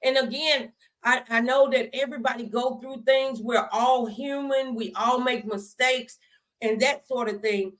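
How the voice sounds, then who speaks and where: American woman host w a highish voice, talking earnest n emphatic, churchy advice chat. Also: fairly even intonation, a little slow, fairly bright tone. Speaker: talk show host, minister. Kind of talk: conversational, faith-based advice.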